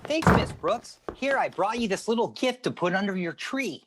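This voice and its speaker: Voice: medium pitch, big pitch swings, moderate pace, heavy background noise, natural voice. An actor playing an eager teenage schoolboy with flowery, pompous phrasing in a radio comedy. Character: Walter Denton, florid, overeager teenage schoolboy.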